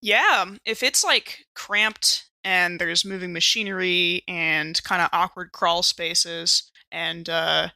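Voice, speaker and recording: A woman's voice: lowish voice, fairly even intonation, slow, few pauses, bright, forceful tone, dry recording. A woman playing a tabletop game, casually improvising details about her character and thinking aloud in loose, conversational phrases.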